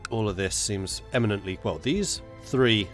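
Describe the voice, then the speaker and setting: A lower-voiced man streams a strategy game, his voice stuffy and congested from a cold. He chats with viewers and mutters his plans half to himself. Soft music plays faintly behind him. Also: normal speed. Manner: chatty, muttering to himself while planning. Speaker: gaming streamer. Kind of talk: casual live gameplay commentary.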